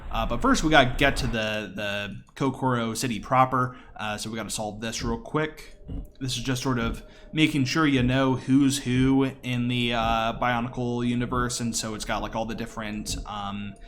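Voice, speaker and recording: A man's voice: medium pitch, steady tone, normal speed, fairly bright tone, a little hiss or hum. A man giving casual, explanatory live commentary as he speedruns a video game.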